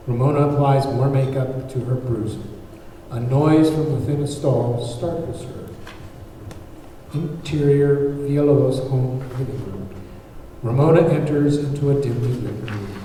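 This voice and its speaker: Mellow male narrator in echoey hall. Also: medium pitch. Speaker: narrator reading stage directions. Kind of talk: scripted narration at table read. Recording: very echoey hall, far mic, faint noise.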